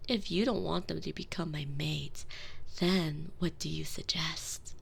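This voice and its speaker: A woman voicing a slow, whispering vampire mistress. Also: sits low, fairly even intonation, creaky, a little hiss or hum, full character voice. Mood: intrigued. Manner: teasing, manipulative, slips into soft whispers. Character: seductive commanding female vampire mistress. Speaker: voice actress in a one-sided audio roleplay. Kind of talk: intimate binaural roleplay, addressing the listener.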